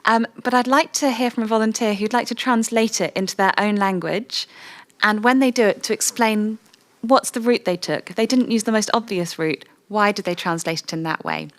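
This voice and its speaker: A woman and native English speaker facilitating a workshop, warmly inviting volunteers from the room. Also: medium pitch, moderate pace. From English-speaking country.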